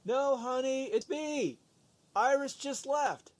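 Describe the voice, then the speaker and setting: A woman playing a naive, excitable husband in an old-time radio sitcom, earnest and easily astonished. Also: high voice, slow pace, crowd noise. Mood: tender, teasing. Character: naive, excitable young husband, dazed expectant father.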